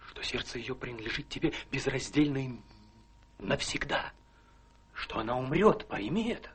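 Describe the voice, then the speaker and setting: An actor playing an earnest, loyal young army officer in a radio drama, speaking gravely and haltingly with theatrical emphasis. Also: male voice, medium pitch, lively intonation, fairly bright tone, natural voice. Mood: impassioned, pleading. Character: earnest, loyal army officer reproaching his careless friend.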